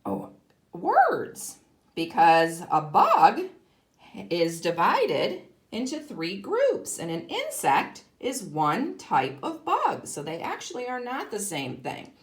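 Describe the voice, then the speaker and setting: A woman speaks as a kindergarten teacher reading aloud to young children. Her pitch swings widely and expressively while her pace stays slow. She phrases things simply and deliberately, stressing key words and putting questions to the listener. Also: huge pitch swings. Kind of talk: read-aloud lesson for young children, explaining and asking questions.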